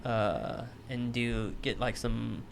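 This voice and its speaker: A man hosts his podcast with a loose, unhurried delivery and plenty of swearing. His pitch sits in an ordinary middle range. A faint hiss runs under the recording. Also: creaky. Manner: laid-back, swears freely. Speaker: podcast host. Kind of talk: casual chat.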